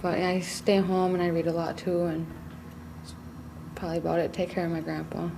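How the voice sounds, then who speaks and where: A woman's voice: on the low side, level tone, brisk, vocal fry, noisy background. A young woman student talking casually and plainly about her home life. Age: young adult. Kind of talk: conversational interview.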